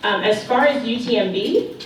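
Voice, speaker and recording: A woman's voice: a little high, moderate pace, a little hiss or hum. A young woman from Indiana, an occupational therapy student, speaking a bit nervously on a panel. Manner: nervous, stumbling at first. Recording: echoey banquet hall, distant mic, dish clatter. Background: cutlery and dishes clinking.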